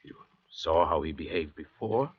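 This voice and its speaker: A man's voice: extremely low pitch, animated, normal speed, husky, exaggerated voice. A man playing an arrogant, learned castaway doctor in a 1940s radio drama, weary and theatrically lecturing. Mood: obsessive, grim. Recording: dull 1940s radio recording.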